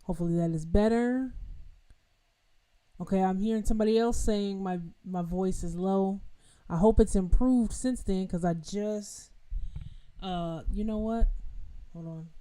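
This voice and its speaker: Mellow-voiced woman teaching piano live. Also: mid-range voice, a little slow. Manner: chatty, talks directly to viewers, loose with fillers and restarts. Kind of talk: casual live-streamed piano lesson. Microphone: home streaming mic with adjustable level.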